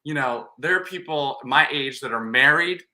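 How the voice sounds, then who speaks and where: A man's voice: medium pitch, normal speed, crowd noise. A young man chatting casually with his grandmother, rambling and hesitant, filling his talk with "like" and restarts. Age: young adult. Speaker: grandson, guest. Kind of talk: casual chat.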